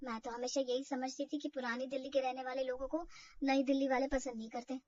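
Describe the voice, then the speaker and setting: A woman's voice: high-pitched, flat delivery, fast, a little mellow, far from the mic, highs cut off, lightly acted. A woman voicing a polite, anxious young new bride in a family drama story, hesitant and deferential. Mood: hesitant, worried.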